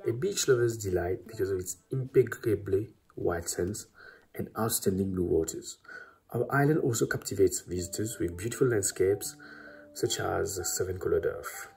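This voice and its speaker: A man's voice: deep voice, moderate pace, creaky, darkish tone, husky, rough recording. A man from Mauritius, giving a formal scripted presentation of his island.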